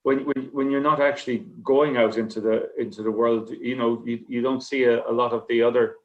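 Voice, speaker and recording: Halting man, slightly muffled sound. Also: medium pitch, steady tone. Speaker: writer on a festival panel. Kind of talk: casual, reflective panel conversation. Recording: slightly dull, lo-fi connection.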